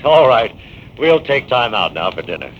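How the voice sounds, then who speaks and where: An earnest scientist hero explains things firmly, his lowish voice moving lively up and down. It sounds like a 1940s radio drama on a dull, slightly hissy transcription. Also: normal speed. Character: male scientist hero, firm and fatherly.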